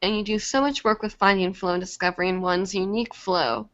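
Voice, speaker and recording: A young woman hosts a casual live stream, reading comments aloud in a chatty way and leaning on lots of fillers. Her voice is a little congested from a lingering cold, and it sits at an ordinary middle pitch. The audio is dull and lo-fi. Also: steady tone, fairly quick, fairly bright tone. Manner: chatty, many fillers, laughs easily. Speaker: live show host.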